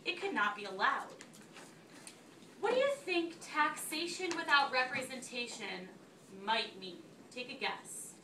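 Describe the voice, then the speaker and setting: A woman teacher reads aloud to her class in a high, bright voice. She is instructive and often turns to questions to check understanding. The recording is echoey, with the mic at a distance and faint noise behind her. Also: big pitch swings, a little slow. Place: school classroom.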